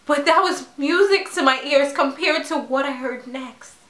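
A woman playing a grieving, defensive mother in a halting, emotional dramatic monologue addressed to a doctor. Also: sits high, fairly bright tone. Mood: shaken. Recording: echoey room.

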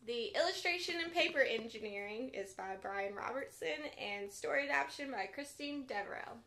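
A woman's voice: a little high, animated, moderate pace, bright, forceful tone. A young woman hosting a children's storytime, reading a picture book aloud expressively and addressing her young listeners directly. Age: young adult. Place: bedroom, sitting up in bed.

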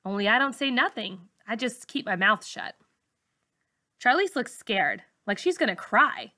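A woman's voice: a little high, big pitch swings, measured pace, bright, forceful tone. A woman narrating a first-person novel aloud, as a teacher reads to a class.

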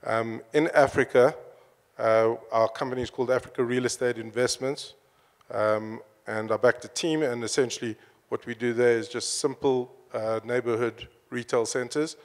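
Flat-toned businesslike man in a hall. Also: mid-range voice, moderate pace. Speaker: panelist. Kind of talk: panel introduction. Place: large conference hall. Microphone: handheld mic. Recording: long hall reverb.

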